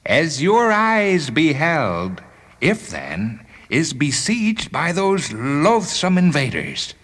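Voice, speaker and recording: A high, slow, grandiose alien guardian. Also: male voice, wildly swooping pitch, fairly bright tone, full character voice. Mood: grandiose, solemn. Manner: solemn, archaic, drawn-out declamation. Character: alien guardian sphere, grandiose herald turned hustling fight manager.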